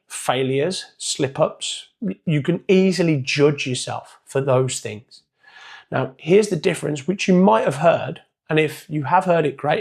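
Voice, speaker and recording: Croaky, hoarse man coaching. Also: fairly high pitch, darkish tone. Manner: casual, chatty, loosely structured. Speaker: performance coach. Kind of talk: motivational coaching talk.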